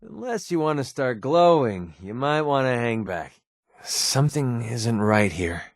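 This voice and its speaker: Wry young American mercenary, dry sound. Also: male voice, mid-range voice, very animated, unhurried, no room sound. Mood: watchful. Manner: casual, sardonic, grumbling. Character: wry young male mercenary sniper in a post-apocalyptic wasteland. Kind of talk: video game companion dialogue.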